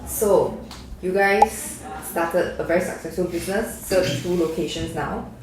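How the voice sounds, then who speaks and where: A woman with a low voice hosts a podcast and puts her questions to the guests. She sounds like she is in a very echoey room, with a faint hiss behind her. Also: measured pace. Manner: brief listening noises. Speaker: podcast host. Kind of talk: interviewing. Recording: very roomy echo, faint noise.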